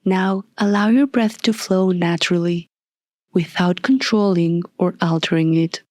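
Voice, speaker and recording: A woman leading a guided meditation as a scripted narration, soft and breathy, calm and soothing, with deliberate long pauses. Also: lowish voice, no room sound, clean studio sound.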